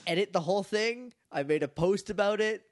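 A man co-hosting a casual podcast, chatty and quick to laugh, with a slightly nasal voice. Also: high-pitched, dry recording, studio quality. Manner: chatty, laughs often, animated. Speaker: podcast co-host. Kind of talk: casual chat.

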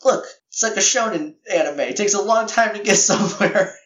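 Guy hosting a podcast with a bit of a scratchy voice, rambles and corrects himself, pitched a little high. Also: a little fast, a bit bright, roomy sound, lo-fi sound. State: slightly scratchy voice. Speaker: podcast host. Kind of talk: casual announcements.

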